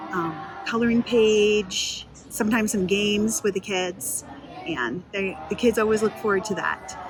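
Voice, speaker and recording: A woman's voice: fairly high pitch, noisy background. A woman speaking as a missionary in a casual interview, explaining things in a plain, conversational way. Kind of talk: casual promo video interview. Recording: faint background ambience.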